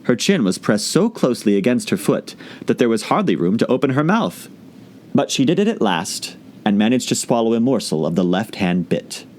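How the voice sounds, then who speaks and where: A man reading scripted storybook narration as an audiobook reader, expressive and theatrical in his delivery. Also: medium pitch, normal speed.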